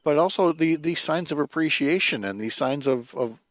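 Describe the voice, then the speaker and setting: Mature male radio host, slightly high-set voice, wry and halting casual banter, dry studio sound. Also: lively intonation, no room sound.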